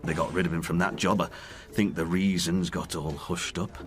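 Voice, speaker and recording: An actor in a radio drama playing a candid working man who haltingly recalls a friend's decline. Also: low-pitched, steady tone, lightly acted. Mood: confiding. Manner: halting, plain-spoken, reflective.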